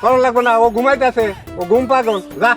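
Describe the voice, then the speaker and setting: A man acting a bossy, irritated factory boss, extremely high-pitched and quick. He scolds his workers in a comic skit, faint music behind. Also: little pitch movement. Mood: irritated scolding. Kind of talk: acted dialogue in a Bangla comic short drama.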